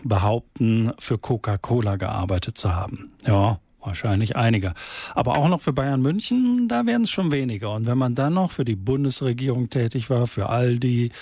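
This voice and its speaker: Husky male German radio host, dry sound. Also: mid-range voice, normal speed, a little mellow, dry recording. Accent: standard German from Germany. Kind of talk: conversational radio presenting.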